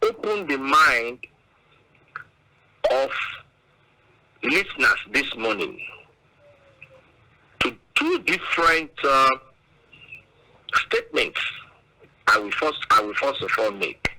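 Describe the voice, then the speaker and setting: Man, Nigerian accent, very sharp forceful tone, animated intonation, oratorical, emphatic, political guest analyst. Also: mid-range voice, low-quality recording. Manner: emphatic, oratorical, deliberate with repeated phrases. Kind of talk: political commentary in an interview.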